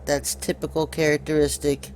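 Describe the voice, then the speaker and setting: A man giving unscripted video commentary, deliberately pointing things out to his viewers. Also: fairly high pitch, completely flat delivery, a little mellow, slight background noise, poor audio.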